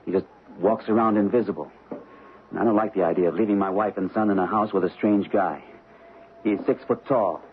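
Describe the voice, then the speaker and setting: A man's voice: fairly low pitch, fairly even intonation, a little fast, narrow, tinny audio, lightly acted. An actor playing a protective, plain-spoken husband and father in an old radio drama, matter-of-fact and conversational. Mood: concerned. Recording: band-limited, noisy old radio recording.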